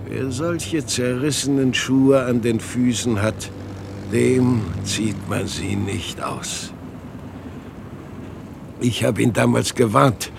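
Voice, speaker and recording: An actor playing a frail old father waiting for his lost son in a radio play, halting and swinging between murmurs and outbursts. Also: medium pitch, vocal fry, background noise. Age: older. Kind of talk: German radio play acting.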